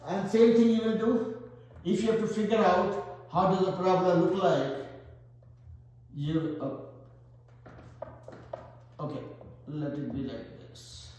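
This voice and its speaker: A man's voice: high-pitched, measured pace, long pauses, a little mellow, slight background noise. A man with an Indian accent teaching a math lesson, working through problems step by step and thinking aloud. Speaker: math tutor. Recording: very echoey room.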